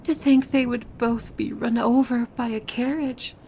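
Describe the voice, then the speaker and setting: A woman playing a possessive demon maid in an ASMR roleplay, devoted and softly menacing, with hushed formal speech that often slips into breathy whispers. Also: a little high, steady tone, unhurried, slight background noise. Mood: devoted, softly sinister.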